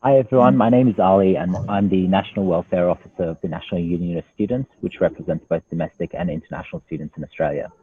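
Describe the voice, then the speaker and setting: A man with a low, husky voice explains things informally as a panelist. He is hesitant, and the sound is dry and narrow like a phone line. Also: fast talker, few pauses. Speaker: student union welfare officer, panelist. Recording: phone-like narrow call audio.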